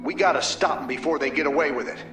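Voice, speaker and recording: A man's voice: a little high, fast talker, natural voice. A man voicing a weary, sardonic human soldier in a video game, with terse, folksy delivery. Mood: grim, wry. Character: gritty human rebel commander, wry weary soldier. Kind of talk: video game cutscene voice acting. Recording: game audio, echo, loud music behind.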